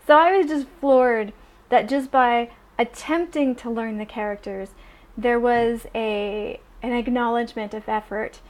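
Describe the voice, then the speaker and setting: A young adult woman chatting casually in a vlog, animated and full of ums and restarts. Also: a little high, measured pace. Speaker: vlogger.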